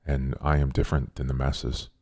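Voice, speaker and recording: Man playing very deep, naive otherworldly being. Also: flat delivery, darkish tone, no room sound, clean studio sound. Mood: calm, certain. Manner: formal, measured, literal phrasing. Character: naive, literal-minded newborn male being, calmly aloof.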